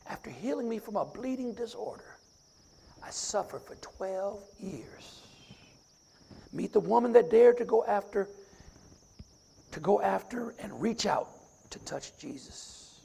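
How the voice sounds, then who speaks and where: A middle-aged man with a raspy voice, a deacon preaching a sermon and reciting scripture from memory. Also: sits high, lively intonation, a little slow, long pauses, warm tone.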